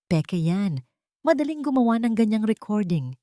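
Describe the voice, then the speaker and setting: A woman's voice: mid-range voice, big pitch swings, moderate pace, dark tone, no room sound, clean studio sound, voice pushed up, close to an everyday voice. A woman voicing a scornful, desperate male villain in an audio drama, indignant and defiant. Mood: desperate denial.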